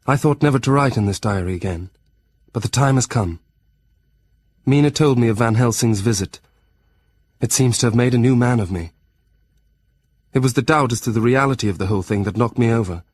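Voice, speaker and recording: A man's voice: medium pitch, a little mellow, no echo, natural voice. An actor playing a young Victorian solicitor, shaken but resolute, narrating his diary in an audio drama with earnest determination.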